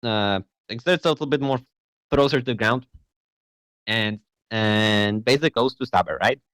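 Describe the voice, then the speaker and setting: Hesitant young Polish game master. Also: male voice, fairly low pitch, moderate pace, fairly bright tone, clean tone, dry recording. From Poland. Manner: hesitant, self-deprecating, often checks in with "right?". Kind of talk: casual online tabletop game chat.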